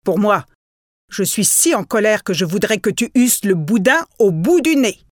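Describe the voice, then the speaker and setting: A cautious, hot-tempered peasant husband from a storybook reasons and argues, voiced by a woman reader. His lines bounce with lively pitch. The recording is dry and close. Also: moderate pace, no room sound. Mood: angry.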